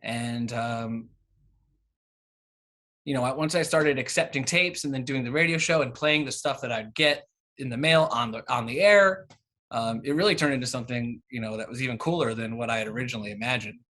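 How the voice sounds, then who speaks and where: A man's voice: mid-range voice, a little fast, bright, forceful tone. A man in his thirties being interviewed in a casual, conversational way, with hesitant, rambling phrasing. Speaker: interview guest, cassette exchange organiser.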